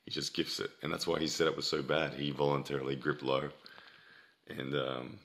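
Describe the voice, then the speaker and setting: Casting: man with an extremely low, hard-edged voice that is wearing out; blunt, scolding straight talk to an audience. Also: flat delivery, normal speed, a bit bright. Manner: blunt, scolding, emphatic repetition. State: losing his voice, throat-clearing. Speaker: competitive arm wrestler. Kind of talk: casual opinion monologue to viewers.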